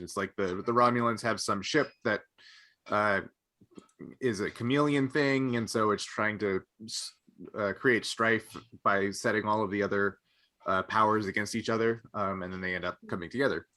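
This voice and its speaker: A man's voice: lowish voice, moderate pace, pushed and bright. A man co-hosting a casual panel chat podcast, talking in a rambling, anecdotal way. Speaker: podcast co-host.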